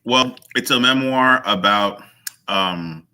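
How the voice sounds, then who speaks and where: A man's voice: medium pitch, slow, sharp tone, clean tone. A man from Virginia, a guest author in an interview, who talks in a thoughtful, hesitant way with many fillers. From Virginia, USA. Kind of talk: casual interview.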